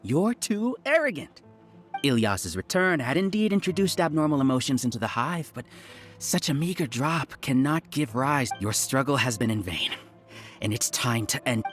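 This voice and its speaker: A cold, scheming scholar villain with a very low, lively voice. He speaks in a condescending way. Game music plays clearly in the background. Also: moderate pace. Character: arrogant scheming scholar who controls a collective.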